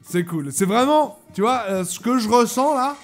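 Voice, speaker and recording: Casting: male YouTube reactor, high voice, loose slangy delivery, blunt and exclamatory. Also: lively intonation, moderate pace, faint music behind. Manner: exclamatory, slangy, crude-mouthed. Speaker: YouTube host reacting to cartoons. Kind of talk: casual reaction commentary.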